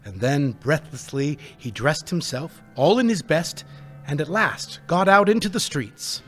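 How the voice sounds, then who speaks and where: A man's voice: a little high, animated, faint music behind. Man narrating a live radio play. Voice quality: measured, storytelling.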